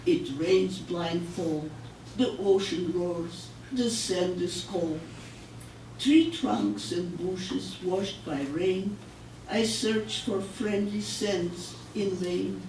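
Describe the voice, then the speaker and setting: Slow older woman reading poetry, echoey room. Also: on the low side, darkish tone. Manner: measured, solemn, deliberate delivery with pauses. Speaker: poet. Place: public library room, live reading. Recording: echoey room, distant mic, noisy.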